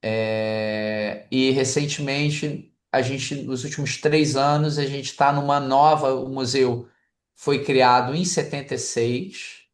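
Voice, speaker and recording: A man from Brazil with a Brazilian Portuguese accent, a museum director, answering interview questions hesitantly with many filler sounds. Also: mid-range voice. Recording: echoey room.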